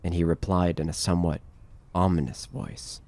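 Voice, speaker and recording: A man's voice: low-pitched, fairly even intonation, normal speed, a little mellow, slight background noise. A man reading scripted narration for a horror audio drama.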